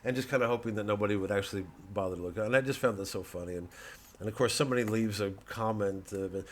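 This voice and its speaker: Male comic, lowish register, loose off-the-cuff rambling, sardonic and halting, talks straight to the listener. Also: a little hiss or hum. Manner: halting, digressive, peppered with "you know" and "man"; sardonic, mocking, profane when worked up. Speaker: comedian promoting his show. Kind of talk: rambling monologue to camera.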